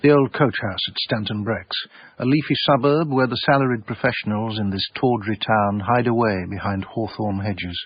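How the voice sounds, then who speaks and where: A man playing a cultured, sardonic art dealer with an English accent and a husky voice, narrating a radio drama in a measured, literary way. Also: lowish voice, dry recording. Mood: wry, sardonic. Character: wry, cultured, obsessive small-time art dealer narrator. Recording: dry, slightly muffled radio broadcast.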